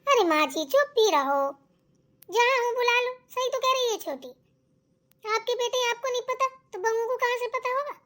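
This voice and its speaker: Casting: very high, girlish village housewife for a family cartoon , voiced by a woman, gossipy and full of complaints. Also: lively intonation, normal speed, fairly bright tone. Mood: bickering. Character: chatty village daughters-in-law and nagging mother-in-law, grumbling.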